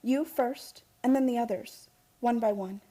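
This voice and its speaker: An actress playing an earnest young heiress in a radio drama, with urgent, impassioned delivery. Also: female voice, a little high, measured pace, warm tone. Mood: tender, fervent.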